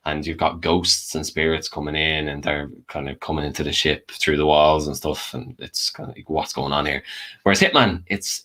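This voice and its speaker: A man's voice: a bass voice, fairly bright tone. A man talking casually as a panellist, giving opinions in an informal film debate. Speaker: guest panellist.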